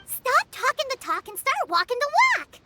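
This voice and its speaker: A woman voicing a tiny, cheeky, childlike fairy girl, blunt and excitable, in a video game. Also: very high-pitched, normal speed, heavily acted. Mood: bossy. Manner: refers to self in third person, blunt, excitable. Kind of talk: animated video game character acting.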